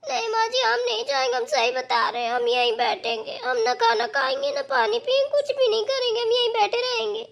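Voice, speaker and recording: A very high, almost childlike voice from a woman acting a young village daughter-in-law in a cartoon. She is whiny and plaintive, stubborn and easily wounded. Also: sing-song, a little fast, creaky, hardly any pauses, heavily acted. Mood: sulky, stubborn.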